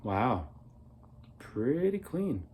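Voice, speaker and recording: A man in his thirties reviewing a product in a casual, playful way, rambling as he thinks aloud, with a slightly husky voice. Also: medium pitch, sing-song, very slow, a little mellow, slight background noise. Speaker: beer reviewer, vlog host.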